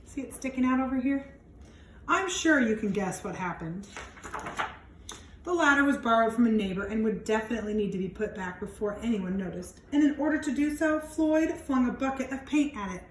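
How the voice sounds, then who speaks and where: A woman reads a picture book aloud to children in an animated way, stretching words for emphasis and adding chatty, wondering asides. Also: a little high, fairly quick. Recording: echoey room with some background noise.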